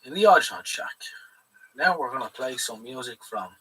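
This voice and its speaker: Irish man doing a cheery radio DJ, smooth patter, bright husky voice, mic at a distance. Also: mid-range voice, expressive, moderate pace. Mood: announcing. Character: cheery male radio DJ hosting his own hour. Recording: voice a bit far from the mic.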